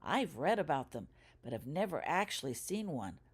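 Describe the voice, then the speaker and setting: A woman's voice: low voice, very animated, moderate pace, slightly rough, full character voice. A woman voicing a curious, cheerful schoolgirl witch in a storybook reading, with an eager, wondering manner. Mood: excited, curious.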